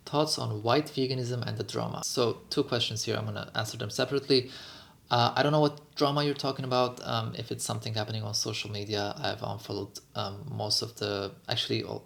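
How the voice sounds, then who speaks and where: Low, gravelly-voiced man from Lebanon. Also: a bit bright. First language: Armenian. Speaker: vegan activist and video host. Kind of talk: casual Q&A vlog talk.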